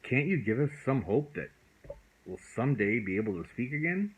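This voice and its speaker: A man's voice: medium pitch, very animated, normal speed, husky, pitched above the performer's own voice, low-quality recording. A man voicing a worried, earnest mother who pleads, in a storybook reading. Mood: pleading.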